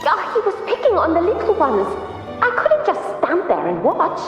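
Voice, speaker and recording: A woman's voice: extremely high pitch, huge pitch swings, moderate pace, far from the mic, exaggerated voice. An actress voicing a spirited, playful young girl in a video game, with a reverberant memory effect. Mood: fond, chatty. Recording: echoey muffled hall, faint music.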